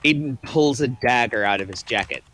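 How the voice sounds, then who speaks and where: A man's voice: medium pitch, normal speed, fairly bright tone. A man narrating the action in a plain, matter-of-fact way. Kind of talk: reading stage directions.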